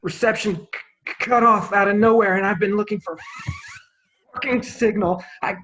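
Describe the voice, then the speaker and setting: A man's voice: high voice, fairly even intonation, normal speed, fairly bright tone, highs cut off, pitched above the performer's own voice. A man acting as a panicked, foul-mouthed scout, stammering frantically as he reports in. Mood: frantic. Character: panicked, foul-mouthed young male scout on walkie-talkie.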